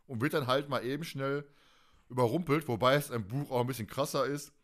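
Male podcast host, medium pitch, chatty and animated, loose unscripted delivery. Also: a little fast. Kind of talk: casual fan podcast discussion.

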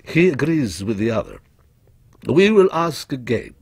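A man voicing a courteous, persuasive shop proprietor in a storybook reading, with deliberate salesman's patter. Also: medium pitch, very animated, darkish tone, husky, no echo, clean studio sound, heavily acted. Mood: coaxing. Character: smooth, persuasive male shop proprietor, politely pushy.

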